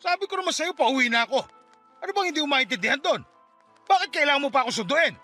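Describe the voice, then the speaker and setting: A man's voice: very high voice, lively intonation, quick pace, bright, forceful tone, faint music behind, full character voice. An actor playing a cocky, swaggering middle-aged stepfather in a radio drama, boastful and argumentative. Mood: irritated, angry. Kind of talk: Tagalog radio drama acting.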